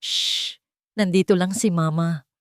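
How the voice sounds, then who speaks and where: A woman voicing a gentle, anxious but determined young mother in a storybook tale, soft and earnest. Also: fairly low pitch, fairly even intonation, unhurried, dry recording, studio quality, lightly acted. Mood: frightened, soothing. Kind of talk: storybook character voice.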